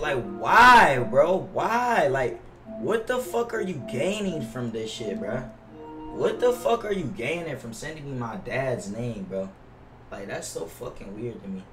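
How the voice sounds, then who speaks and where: A man's voice: mid-range voice, a bit bright. A young American man chatting casually to livestream viewers as a game streamer, slangy and profane, often repeating himself. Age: young adult. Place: indoor room at a gaming setup. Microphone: gaming headset mic. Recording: roomy, distant headset mic with noise.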